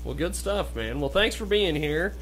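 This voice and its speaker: A man's voice: fairly high pitch, animated, moderate pace, a bit bright, soft background music, low-quality recording. A man hosting a radio talk show, interviewing a guest with laid-back, short encouraging replies. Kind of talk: casual interview chat. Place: radio studio.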